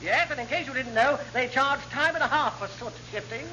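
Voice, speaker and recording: A man's voice: very high-pitched, a little fast. A man playing a jolly, tactless upper-class English intelligence commander in classic radio comedy, full of old-chap bluster. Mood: cheerful gloating. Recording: muffled, noisy old radio recording.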